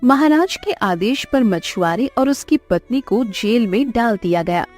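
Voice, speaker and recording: A woman's voice: on the high side, lively intonation, unhurried, a little mellow. A woman giving scripted storybook narration for a cartoon. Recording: music under the voice. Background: background music.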